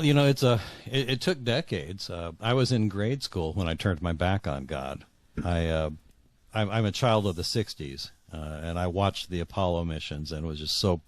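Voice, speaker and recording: Deep-voiced, earnest man in his sixties. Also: dry recording. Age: 60s. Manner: earnest, halting, repeats words as he searches for phrasing. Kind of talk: reflective conversational interview.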